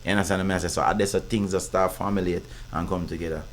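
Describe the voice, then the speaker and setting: A Jamaican man speaking as an interview guest, his voice big and very low. He talks quickly in heavy patois, piling up phrases and stopping to ask if he's understood. Faint noise sits under the speech. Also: fairly even intonation. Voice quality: big deep voice. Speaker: guest artist. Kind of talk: casual interview chat.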